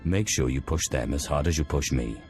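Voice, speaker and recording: An imperious, vengeful dark lord for a video game. The voice is extremely deep and cold in manner. Soft music sits behind it. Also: male voice, fairly quick. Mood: cold, dry. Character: commanding, vengeful male Sith lord. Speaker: Sith Lord protagonist. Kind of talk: video game cutscene acting.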